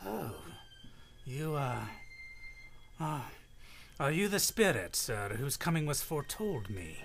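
A woman's voice: deep voice, takes it slowly, vocal fry, heavily acted. A woman playing a frightened, stammering old miser in a radio play. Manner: stammering, pleading, excitable.

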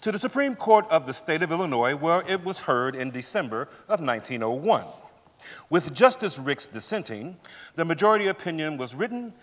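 A man narrates a staged reading in a formal style. His voice is fairly high and expressive, carrying with long reverb through a theater. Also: brisk. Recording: echoey theater hall.

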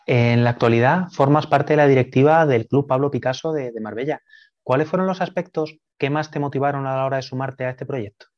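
A man's voice: mid-range voice, brisk, muffled recording. A man in his thirties hosting a video-call interview, polite and friendly, opening with a scripted welcome before asking questions. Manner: friendly, polite, short backchannels. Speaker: interviewer and show host. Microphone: video call webcam.

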